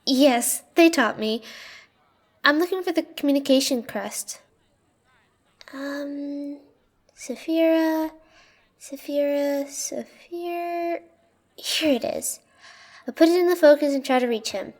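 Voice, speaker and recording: A woman's voice: sits high, takes it slowly, pauses often. A woman playing a young, loyal, cautious girl serving a queen, earnest and deferential, in a fantasy audio roleplay. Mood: helpful, uneasy.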